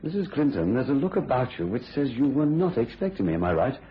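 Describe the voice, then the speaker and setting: An actor playing a courteous, unruffled family doctor in a radio thriller, with a polite, conversational manner. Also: male voice, mid-range voice, big pitch swings, fast, close to an everyday voice. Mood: genial, courteous. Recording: muffled radio recording, faint noise.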